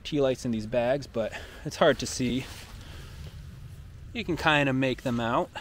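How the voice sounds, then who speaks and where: A man's voice: medium pitch, unhurried, slight background noise. A man giving a playful walk-through of a decorated outdoor trail at night, narrating in a theatrical storybook manner while holding the camera.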